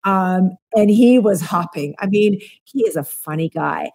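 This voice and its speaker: A woman's voice: medium pitch, lively intonation, unhurried, a little mellow. Casting: South African woman, forties, talkative co-host, webcam sound from a room at home. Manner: chatty, lots of ums, enthusiastic. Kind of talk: casual chat. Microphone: webcam on a video call.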